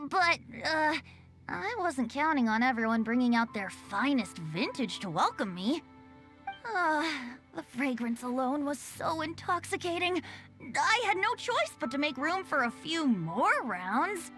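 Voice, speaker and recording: A woman's voice: sits high, sing-song, unhurried, fairly bright tone, soft background music, exaggerated voice. A woman voicing a cheerful, mischievous young male bard with a breezy, playful manner in a video game.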